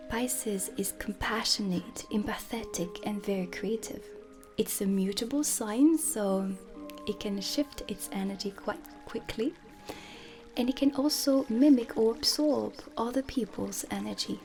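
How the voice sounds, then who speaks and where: A woman gives an ASMR lesson in the role of a dark witch teacher. Her delivery is very unhurried and breathy, slipping between soft speech and whispering, with deliberate pauses. Ambient music plays clearly behind her. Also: a little high.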